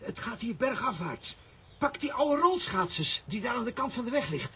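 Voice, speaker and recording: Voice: mid-range voice, big pitch swings, moderate pace, noisy background, close to an everyday voice. A performer playing a small, loyal talking coffee pot in a children's radio play, eager and exclaiming. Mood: urgent.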